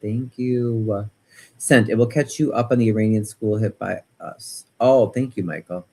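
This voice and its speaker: An American man hosting a casual livestream, chatting and commenting in an animated, opinionated, rambling way, often repeating words for emphasis. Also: on the low side, moderate pace. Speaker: livestream host. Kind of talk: casual livestream chat and political commentary, reading viewer comments.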